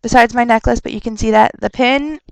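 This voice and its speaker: A young woman rambling casually on a webcam vlog, chatty and quick to laugh and rant, her nose stuffed up from a cold. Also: on the high side, fairly even intonation, creaky, no echo. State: stuffed up with a sore throat. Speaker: vlogger answering viewer questions.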